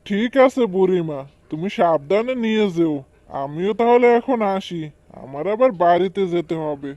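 A man's voice: sits high, expressive, normal speed, mellow, no room sound. A man voicing a polite, helpful woodcutter in a children's cartoon story, in a muffled recording. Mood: kindly.

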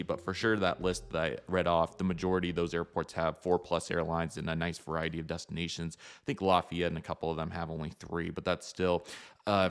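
American guy doing a casual hobby vlog voiceover, pretty deep voice, bit of guitar music behind him. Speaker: hobby channel host. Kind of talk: casual scripted-outline vlog voice-over.

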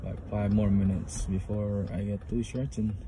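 A man's voice: fairly low pitch, flat delivery, moderate pace. A man in his thirties from the Philippines with a Filipino accent, chatting casually and rambling in loose English while vlogging from his car on a phone. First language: Tagalog. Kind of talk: casual vlog chat. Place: inside a car. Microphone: phone camera on the dashboard. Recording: car and road noise.

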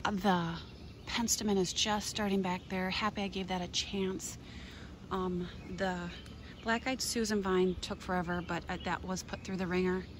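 A woman's voice: lowish voice, noisy background. A woman from Minnesota chatting enthusiastically as she gives a casual tour of her container garden outdoors, filming on a handheld phone. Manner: enthusiastic, chatty, pointing things out. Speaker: gardening vlogger.

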